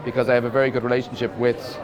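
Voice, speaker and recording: A man's voice: mid-range voice, level tone, very fast. Irish man, reflective, busy crowd behind. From Ireland. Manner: thoughtful, repeats words while searching for phrasing. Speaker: film director. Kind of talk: festival interview.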